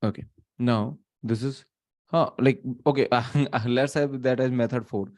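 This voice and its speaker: An Indian man in his thirties teaches a live online class from a small room, his voice sitting at an ordinary middle pitch. He thinks out loud, stops and restarts, and drops Hindi phrases into his English. The mic is a webcam setup, not close to him. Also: fairly even intonation, unhurried. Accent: Indian English, mixing in Hindi. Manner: thinking aloud, many restarts and fillers.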